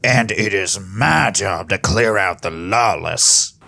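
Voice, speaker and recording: Man as a gruff, gravelly griffin lawman. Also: low-pitched, takes it slowly, sharp tone, full character voice. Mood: commanding. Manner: blunt, commanding. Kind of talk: audio drama acting.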